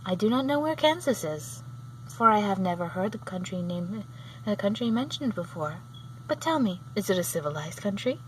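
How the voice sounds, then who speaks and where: A woman voicing a kindly, solemn little old good witch in a storybook, explaining things gently. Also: medium pitch, very animated, moderate pace, far from the mic, a little hiss or hum, close to an everyday voice, low-quality recording. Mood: explanatory.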